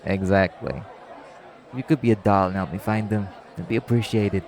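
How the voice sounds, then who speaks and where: A man playing a brisk, businesslike nightclub tailor who talks directly and informally in an audio roleplay. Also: fairly low pitch, fairly even intonation, a little mellow, some chatter behind, close to an everyday voice. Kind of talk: audio roleplay acting addressed to the listener.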